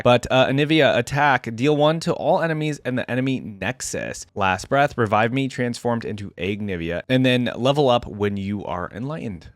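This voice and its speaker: Chill guy hosting a reaction vid, bit low pitched, informal, close dry mic. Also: dry recording. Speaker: host of a game lore reaction channel. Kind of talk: casual reaction commentary mixed with reading aloud.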